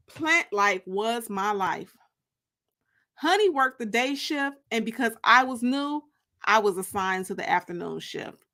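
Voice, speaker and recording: A woman reading a first-person story as dramatic audiobook narration, expressive and deliberate, drawing out the ends of phrases. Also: a little high. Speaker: author reading her book.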